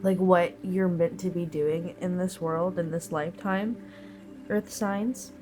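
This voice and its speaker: A woman's voice: lowish voice, fairly even intonation, slow pace, over music. A woman giving a collective tarot reading while sick, sounding nasal and sniffly, talking in a thoughtful, meandering way with many fillers. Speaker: tarot reader.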